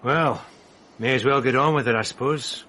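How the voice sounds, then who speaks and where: Sharp, sceptical police sidekick. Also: male voice, mid-range voice, moderate pace. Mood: dry, doubtful. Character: dry, sceptical male police subordinate to an inspector. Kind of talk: radio drama acting.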